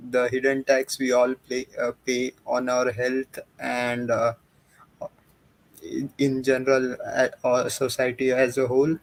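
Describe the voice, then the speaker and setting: A man co-hosting a livestream, talking casually in a hesitant, halting way. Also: medium pitch, monotone, no room sound, low-quality recording. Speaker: livestream co-host. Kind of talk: casual chat.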